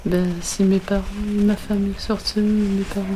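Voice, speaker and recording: A middle-aged woman answers questions briefly and simply, in a mellow, soft-toned voice. Faint background noise sits behind her. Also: medium pitch, flat delivery, normal speed, poor audio. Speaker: interviewee.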